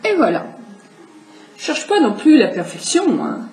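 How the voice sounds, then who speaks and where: High-voiced woman with a cold, chatty. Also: big pitch swings, a little slow, darkish tone. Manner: chatty, explanatory, addresses viewers directly. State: has a cold. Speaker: makeup tutorial host. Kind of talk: casual makeup demonstration. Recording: echoey room with faint background hiss.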